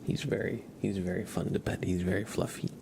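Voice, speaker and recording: A man hosting an ASMR livestream, chatting gently and casually in a soft, breathy voice with frequent pauses. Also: low voice, little pitch movement, background noise. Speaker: livestream host.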